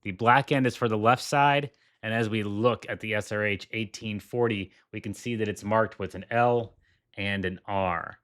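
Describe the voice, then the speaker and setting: A man's voice: on the low side, fairly even intonation, normal speed, a bit bright. A man hosting a tech video, walking through a product demo with enthusiasm and repeating his points for emphasis. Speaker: tech reviewer.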